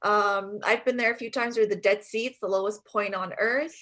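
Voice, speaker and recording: A woman's voice: mid-range voice, fairly even intonation, normal speed, a bit bright. A woman from Jordan, a comedian, chatting playfully on a podcast and laughing often. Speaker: comedian guest. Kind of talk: casual podcast chat.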